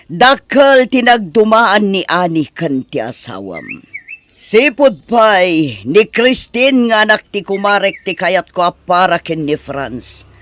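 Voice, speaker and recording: Woman playing a scolding middle-aged mother, Ilocano accent, bright forceful voice, swooping emphatic delivery, radio-style drama. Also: medium pitch, very animated, slow pace. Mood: disapproving. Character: sharp-tongued, disapproving middle-aged mother and mother-in-law. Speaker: actor in an audio drama. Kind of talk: Ilocano audio drama acting.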